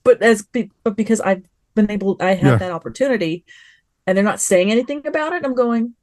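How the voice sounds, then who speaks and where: Expressive woman on a podcast panel. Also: medium pitch, quick pace, dry recording. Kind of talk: casual review chat.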